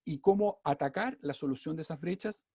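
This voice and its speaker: A man with a Chilean accent, speaking quickly on a seminar panel, with many "eh" fillers. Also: fairly high pitch, no echo, studio quality. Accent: Chilean Spanish. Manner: rapid and animated, with many "eh" fillers. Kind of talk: fast-paced seminar talk.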